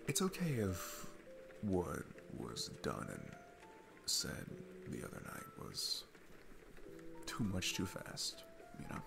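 A man playing a shy, sweet single dad smitten with his babysitter, speaking gently and haltingly in a romantic ASMR roleplay. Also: sits low, huge pitch swings, gravelly, over music, heavily acted. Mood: earnest, tender.

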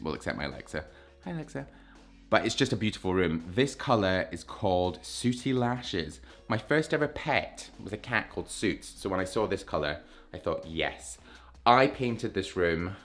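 Chatty low-voiced man touring his flat. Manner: chatty, self-mocking, enthusiastic. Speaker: vlogger giving a flat tour. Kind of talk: casual walk-through chat. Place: rooms of a London flat, indoors. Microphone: handheld camera at arm's length.

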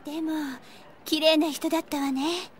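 A sweet, polite teenage schoolgirl played by a woman in an audio drama. Her voice is high, soft and feminine. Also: steady tone, normal speed, lightly acted. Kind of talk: Japanese audio drama acting.

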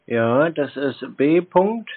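A man's voice: fairly high pitch, animated, takes it slowly, breathy edge. A laconic man taking a phone call, answering briefly and without committing himself. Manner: laconic, noncommittal, mostly short replies. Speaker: call recipient. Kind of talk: phone conversation. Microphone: telephone call.

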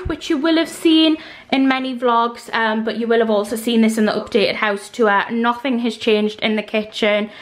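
A woman's voice: a little high, dry recording. A young woman from Newcastle with a Geordie accent, giving a casual, chatty house tour as a vlogger. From Newcastle, North East England. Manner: chatty and informal. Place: walking through a house and garden. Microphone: handheld camera, held by speaker.

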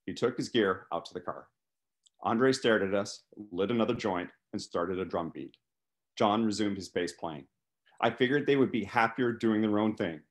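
A man in his fifties doing an animated first-person reading from his novel over a video call. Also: on the low side, moderate pace. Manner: animated, acts out dialogue. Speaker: author reading from own novel. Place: small living room, indoors. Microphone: video call, computer microphone.

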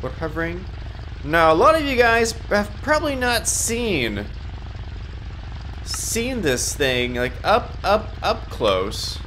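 A man's voice: high-pitched, sing-song, takes it slowly, a bit bright, a little hiss or hum. A young man casually commenting on his game, stuffed-up and nasal, rambling and correcting himself with small giggles. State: congested, nasal from a stuffy nose. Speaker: gaming YouTuber.

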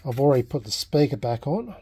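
An Australian man talking casually and unscripted in a workbench vlog, halting and thinking aloud as a hobbyist. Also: mid-range voice, sing-song, dark tone, slightly rough. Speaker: hobbyist vlogger restoring a vintage radio. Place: small indoor home workshop at a bench.

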